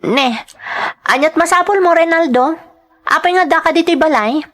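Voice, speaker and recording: A woman's voice: very high voice, moderate pace, fairly bright tone, voice pushed up. A woman playing an irritable, haughty young village woman in a radio-style drama, curt and scolding, with an Ilocano accent. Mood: curt, annoyed.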